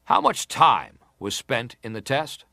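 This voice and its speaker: An actor playing a formal, insistent senator who questions a witness deliberately in a dramatised hearing. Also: male voice, mid-range voice, big pitch swings, vocal fry, bright, forceful tone, no echo, clean studio sound, exaggerated voice. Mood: probing, formal. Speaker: actor reading an examining senator. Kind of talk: dramatised reading of hearing testimony.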